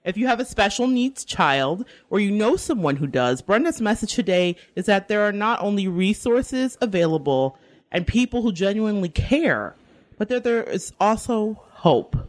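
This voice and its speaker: A woman's voice: mid-range voice, animated, moderate pace. Female radio host from the Bronx; chatty, laughs often; studio sound. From the Bronx, New York. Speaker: radio talk show host. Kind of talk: radio interview.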